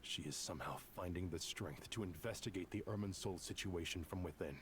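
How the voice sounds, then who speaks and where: Game role: grave learned man played by a male actor, low voice, measured exposition, clear background noise. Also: natural voice. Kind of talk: scripted game dialogue.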